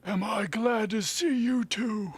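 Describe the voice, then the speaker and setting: A man putting on an extremely high, husky dad. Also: takes it slowly, heavily acted. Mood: relieved. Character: father character in a comic fanfiction reading. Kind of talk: comic amateur read-aloud of fiction.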